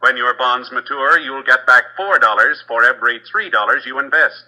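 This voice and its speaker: An American man announcing in a formal, measured, dramatic 1950s network radio style. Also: mid-range voice, sharp tone, poor audio. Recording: old radio broadcast with music. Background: music.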